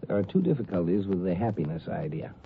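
A man's voice: sits low, very animated, fast talker. A man hosting a 1950s radio show, explaining in a conversational commentary style. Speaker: host. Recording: tinny 1950s radio, faint noise.

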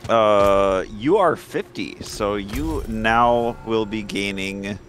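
A man's voice: lowish voice, slow, a bit bright, a little hiss or hum. A man chatting casually over co-op gameplay, explaining things in a laid-back way. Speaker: gamer playing co-op. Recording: faint game music behind.

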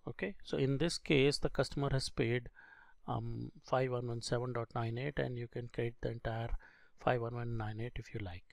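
A male instructor with a creaky, gravelly voice walks through software step by step. His delivery is halting and explanatory, like a screen-recorded training lesson. The sound is dry and close. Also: medium pitch, steady tone, dry recording.

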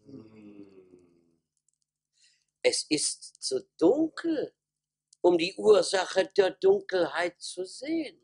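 Very slow husky foolish townsman. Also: sits high, wildly swooping pitch, darkish tone, exaggerated voice. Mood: puzzled. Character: ponderous male townsman, solemnly foolish. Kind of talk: comic German radio play acting.

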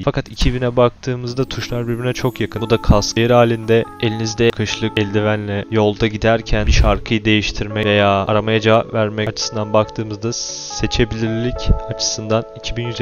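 A man giving an explanatory product comparison as a reviewer in voice-over. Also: on the low side. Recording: dull lo-fi audio under music. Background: music.

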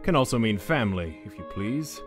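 A man's voice: lowish voice, very animated, brisk, over music. A man voicing a suave, condescending dice-headed cartoon casino manager who explains with a teasing drawl. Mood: sly, teasing. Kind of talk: animated comic dub acting.

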